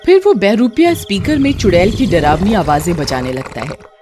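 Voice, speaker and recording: Animated woman narrating a story. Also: medium pitch, normal speed, over music. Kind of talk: scripted dramatic story narration.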